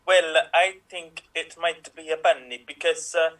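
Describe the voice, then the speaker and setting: A man's voice: a little high, fairly even intonation, unhurried, a bit bright. A man voicing a shady male doctor who rambles as he speculates. He sounds a little far from the mic. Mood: suspicious. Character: suspicious male doctor with a muddled accent.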